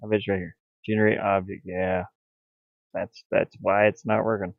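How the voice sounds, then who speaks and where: A man thinking aloud in a halting mutter as he gives casual commentary on his hobby game development. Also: sits low, flat delivery, vocal fry, no echo. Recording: dull lo-fi audio, faint music. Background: faint music.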